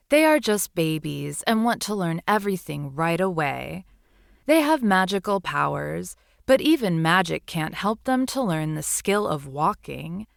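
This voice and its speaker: Slow, expressive woman reading bedtime stories. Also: on the low side, vocal fry, no echo, clean studio sound. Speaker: storyteller. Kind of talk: bedtime story narration for children.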